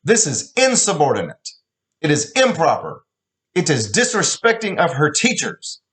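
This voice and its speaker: A man reading aloud and voicing a furious immigrant father who shouts at his daughter in broken English. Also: fairly high pitch, huge pitch swings, normal speed, pitched above the performer's own voice.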